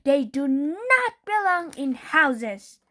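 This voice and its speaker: Woman doing a bossy shouting older sister. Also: high-pitched, big pitch swings, pitched above the performer's own voice, heavily acted. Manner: slow, emphatic shouting.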